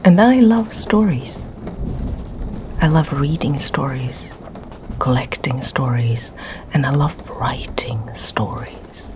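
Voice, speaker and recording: A middle-aged woman from Sweden with a Swedish accent, whispering ASMR stories softly and slowly in her home library. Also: rumbling low. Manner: soft, slow whisper. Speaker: ASMR creator and storyteller.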